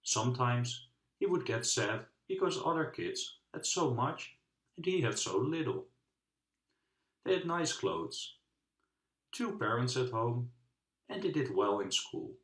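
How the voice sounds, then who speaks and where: Animated man reading a children's book, roomy sound. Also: mid-range voice, a little slow, pauses often. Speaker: storybook reader. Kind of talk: children's book read-aloud narration.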